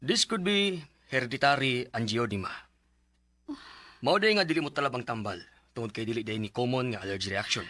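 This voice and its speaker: Casting: firm clinical doctor, lively intonation, crisp bright tone, dry studio, radio drama. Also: male voice, medium pitch, brisk, no echo. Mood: grave, informative. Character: authoritative male specialist doctor explaining a serious condition. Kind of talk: 90s Bisaya radio drama acting.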